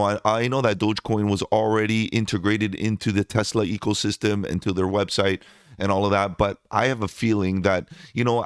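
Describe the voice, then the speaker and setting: A man's voice: smooth, dry recording, studio quality. A man who is losing his voice, hosting a crypto channel and giving upbeat market commentary to his viewers. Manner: upbeat salesy pitch, addresses viewers as guys. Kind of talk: market analysis commentary over screen charts.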